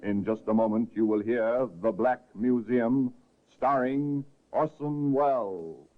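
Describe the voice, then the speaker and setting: A man announcing a 1950s radio mystery series in a brisk, formal way. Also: mid-range voice. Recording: tinny 1950s radio broadcast with faint music.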